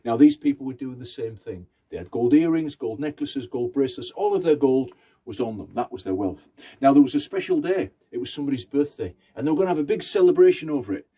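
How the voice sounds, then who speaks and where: A man's voice: medium pitch, lively intonation, quick pace, dark tone, breathy edge. A man telling a folktale conversationally, in short phrases with rhythmic repetition. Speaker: Romani storyteller. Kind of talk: oral folktale storytelling.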